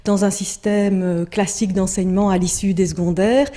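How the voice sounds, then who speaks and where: A woman's voice: medium pitch, little pitch movement, normal speed, warm tone. A woman explaining in an articulate way in an interview.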